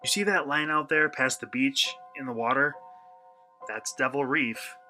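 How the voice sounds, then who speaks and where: A man's voice: medium pitch, normal speed, sharp tone, soft background music. An actor playing a brash, uneasy young male vlogger in a radio play, talking in a slangy, sarcastic, theatrical way. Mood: frustrated, bitter. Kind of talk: radio play acting, first-person monologue.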